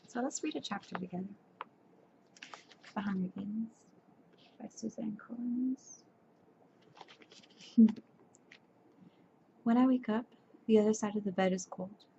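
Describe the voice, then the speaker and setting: Soft-spoken sleepy young woman, gravelly. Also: mid-range voice, measured pace, pauses often. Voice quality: soft, breathy. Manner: stumbles and corrects herself while reading. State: tired, sleepy. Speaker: ASMR creator. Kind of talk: soft-spoken ASMR chat and reading aloud. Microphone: webcam. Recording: dull lo-fi webcam audio with faint hiss.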